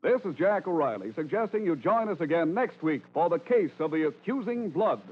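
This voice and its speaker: A man's voice: fairly high pitch, sing-song, fairly quick, narrow, tinny audio. A man reading scripted credits as an announcer in 1940s radio style. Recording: phone-thin old radio recording. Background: music.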